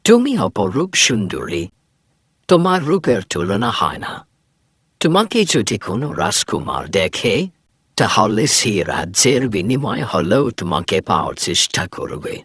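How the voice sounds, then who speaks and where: A man voicing a stern old sage who tests a young woman, with a husky, commanding voice. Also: fairly low pitch, very animated, a little slow, fairly bright tone, dry recording, studio quality, full character voice. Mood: solemn. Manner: commanding, testing. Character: stern old male sage, enchanted prince in disguise.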